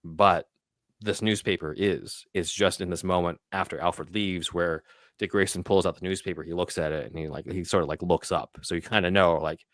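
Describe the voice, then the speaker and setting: Low-voiced man breaking down a film. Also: fairly even intonation, normal speed, a bit bright, dry recording, clean studio sound. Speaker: podcast host. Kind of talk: casual film breakdown, talking through scenes.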